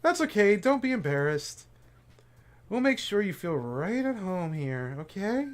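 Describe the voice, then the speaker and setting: A man voicing a cheerful, composed teenage girl who leads a school club, sounding bright and encouraging. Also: high-pitched, very animated, unhurried, pitched above the performer's own voice. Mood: upbeat. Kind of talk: voicing game characters on a live stream.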